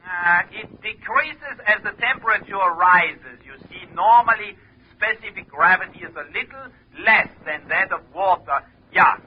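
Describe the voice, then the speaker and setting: A man acting a hesitant, pedantic chemist in a 1950s radio drama, musing haltingly in a high, husky voice. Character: hesitant, absent-minded male chemist answering a phone call. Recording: muffled old radio recording.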